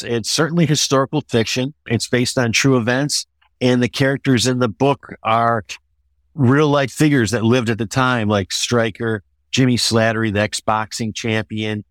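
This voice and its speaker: Middle-aged or older man, American accent, author interview, measured explanatory delivery, average pitch, dry recording. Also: a bit bright, no echo. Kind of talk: conversational interview answers.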